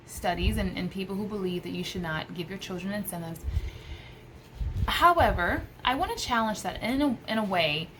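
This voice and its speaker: A woman's voice: mid-range voice, lively intonation, moderate pace, a bit bright, a little hiss or hum, poor audio. An American woman in her thirties, a homeschooling mother, gives casual tips to camera in an enthusiastic, emphatic way. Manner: enthusiastic, emphatic with repeated phrases. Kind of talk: casual vlog advice to camera. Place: indoors, small room by a window.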